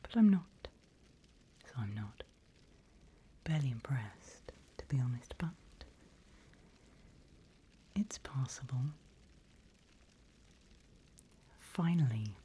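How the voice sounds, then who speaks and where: A woman voicing a seasoned, smug and teasing demon mentor in a breathy, theatrical ASMR whisper. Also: rumbling low, sing-song, mellow, full character voice. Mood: smug, unimpressed. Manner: slow, drawn-out, theatrical.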